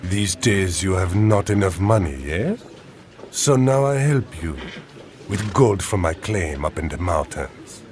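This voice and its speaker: Male game villain: mocking French rogue, French accent, deep and unhurried, menacing. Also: animated, darkish tone, slight background noise. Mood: smug, wheedling. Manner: drawling, taunting, foreign phrasing. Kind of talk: scripted video game villain acting.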